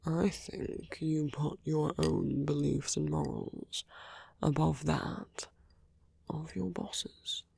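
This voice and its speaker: A seductive, smug villain acted out by an ASMR performer speaks close and dry, with plenty of gravelly fry. The delivery is intimate and teasing, drifting between a breathy murmur and whispers, as in a romantic audio roleplay. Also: mid-range voice, fairly even intonation, takes it slowly, a little mellow, no echo, full character voice. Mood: intimate, coaxing. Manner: intimate, teasing, drawling, often dropping to whispers.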